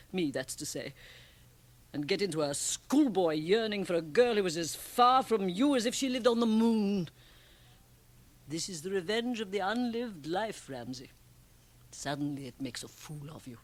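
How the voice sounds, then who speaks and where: Worldly, low-voiced woman. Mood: forceful, lecturing. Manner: emphatic, theatrical, probing. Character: shrewd, worldly, provocative Swiss woman, blunt and teasing. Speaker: radio drama actress. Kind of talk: radio drama acting.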